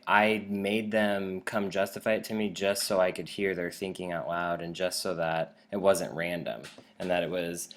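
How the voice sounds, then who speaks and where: Low-voiced American man, encouraging teacher. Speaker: teacher giving a presentation. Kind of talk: informal instructional presentation.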